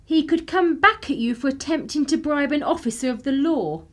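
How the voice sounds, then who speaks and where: A woman plays a clever young upper-class English lady in a radio drama. Her voice is high and very lively, with an arch, teasing, commanding poise. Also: normal speed. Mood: cool reproach. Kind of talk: radio play acting.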